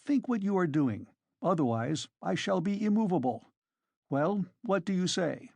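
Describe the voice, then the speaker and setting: A narrator voicing a pompous, self-important gentleman in an audiobook, formal, measured and insistent in his reproach. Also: medium pitch, big pitch swings, creaky, no room sound, voice pushed up. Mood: reproachful. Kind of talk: dramatised audiobook reading.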